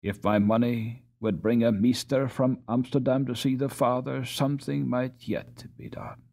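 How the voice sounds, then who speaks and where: A man's voice: mid-range voice, animated, normal speed, vocal fry, darkish tone. A man voicing an earnest, dutiful Dutch boy in an audiobook, speaking a little hesitantly. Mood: earnest, troubled. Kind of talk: audiobook character reading.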